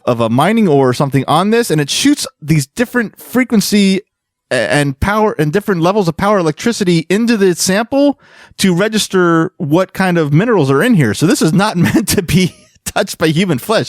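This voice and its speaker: Male podcast host, slightly high voice, very lively pitch, jokey storyteller, close dry sound. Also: brisk, dry recording. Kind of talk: casual panel chat, telling fan lore.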